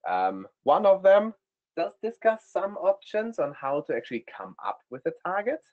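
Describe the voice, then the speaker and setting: A man with a German accent, speaking as a guest data scientist in a webinar, often pausing with ums. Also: high voice, sing-song, phone-quality sound. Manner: hesitant, many ums. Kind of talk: webinar presentation.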